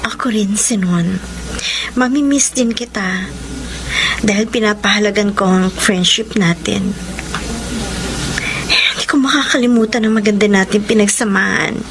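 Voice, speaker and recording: An actress playing a gentle, affectionate young woman with a Filipino accent in a radio romance drama. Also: medium pitch, a little slow, long pauses, noisy background, lightly acted. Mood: gentle, consoling. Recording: music bed behind the voice.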